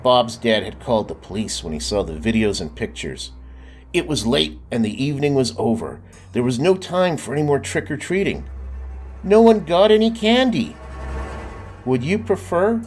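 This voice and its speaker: A man reading a children's storybook aloud in a lively way, often stopping to ask the listener questions. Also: mid-range voice. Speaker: storybook reader. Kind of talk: children's book read-aloud.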